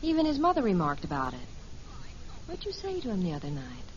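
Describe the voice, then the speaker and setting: An actress playing a warm, attentive middle-aged wife and mother in a 1950s radio sitcom, gently conversational. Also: mid-range voice, huge pitch swings, fast talker. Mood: warm, curious. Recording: muffled old radio recording, faint hiss.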